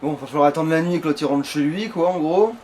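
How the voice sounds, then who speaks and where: A man's voice: fairly high pitch, fast talker, a little mellow. A man giving casual, grumbling commentary as he plays a video game, swearing freely. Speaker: gamer playing in a let's play. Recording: noisy home game recording.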